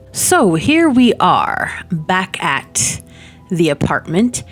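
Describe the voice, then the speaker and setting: A woman with a slightly stuffy nose chatting casually while building in a life-sim game, rambling with many fillers and little laughs at herself. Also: mid-range voice. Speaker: Sims building channel host. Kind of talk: casual chatty gameplay commentary. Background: soft background music.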